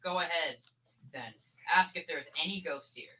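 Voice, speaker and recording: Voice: mid-range voice, animated, moderate pace, sharp tone, husky, low-quality recording. A young performer playing an eager, pestering boy in an old-time radio comedy, insistent and childlike. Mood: expectant. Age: teenager.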